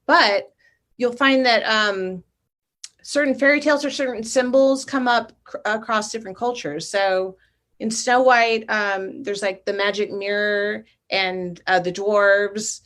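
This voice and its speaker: Middle-aged woman on a video call, explaining her paintings, thoughtful, chatty, normal-pitched voice. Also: steady tone, unhurried, fairly bright tone. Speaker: guest artist. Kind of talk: casual interview talk.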